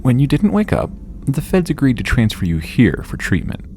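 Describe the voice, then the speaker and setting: A man playing a calm, reassuring scientist hero in an audio drama, talking in a measured way. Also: mid-range voice, slight background noise. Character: calm, measured male superhero scientist tending a patient.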